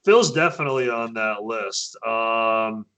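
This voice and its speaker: Man, interview guest, bright voice, hesitant, casual chat. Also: unhurried, clear, resonant tone. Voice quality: naturally low voice. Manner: hesitant, many restarts and fillers. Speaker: vocalist of a metal band, interview guest.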